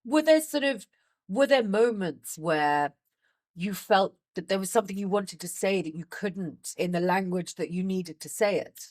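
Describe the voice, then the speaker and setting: A woman's voice: mid-range voice, animated, normal speed, darkish tone. A woman interviews a guest in a conversational way. She asks questions in an engaged, encouraging manner, often circling back to rephrase them. Her voice sits in the middle of the usual range.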